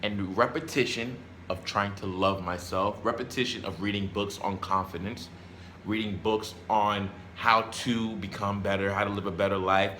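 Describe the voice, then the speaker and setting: A man's voice: lowish voice, a bit bright, a little hiss or hum. A man giving a rambling, blunt motivational talk, casual and sweary, repeating phrases for emphasis. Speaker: self-help vlogger.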